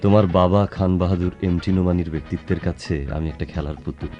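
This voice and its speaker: An actor playing a young student suitor in a Bengali radio drama, dryly ironic and brooding yet deferential. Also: male voice, deep voice, fairly even intonation, fast talker, mellow, muffled recording, soft background music, natural voice. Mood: bitter irony. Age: young adult.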